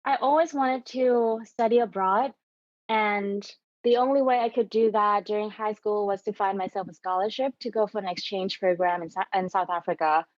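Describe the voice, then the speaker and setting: A young woman from Thailand, a podcast guest, telling her career story in a measured, thoughtful way. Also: medium pitch, fairly even intonation, no echo, dull audio. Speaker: podcast guest, venture capital investor. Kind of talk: conversational interview.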